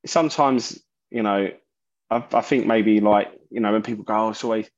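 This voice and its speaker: Loud-voiced man, dull lo-fi sound. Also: on the low side. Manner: halting, searching for words, many restarts. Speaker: musician guest, bassist and singer. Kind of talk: casual interview talk.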